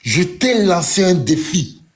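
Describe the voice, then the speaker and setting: A man's voice: high-pitched, big pitch swings, slow pace. A man in a heated live-stream debate who keeps interrupting with short repeated commands and sounds dismissive.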